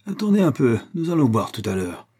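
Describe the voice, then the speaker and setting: A man voicing an indignant, overexcited gentleman with a huffy, outraged manner in a storybook reading. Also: lowish voice, animated, fairly quick, husky. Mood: indignant, threatening.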